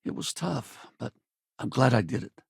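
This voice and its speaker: An easygoing male space pilot companion for a video game. His voice is husky and heavily creaky, recorded dry and close. He talks casually, loyal and wry, like someone used to a fight. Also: mid-range voice, lively intonation, moderate pace, no room sound, heavily acted. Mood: candid, reflective. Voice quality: gravelly, husky. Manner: casual, colloquial, conversational.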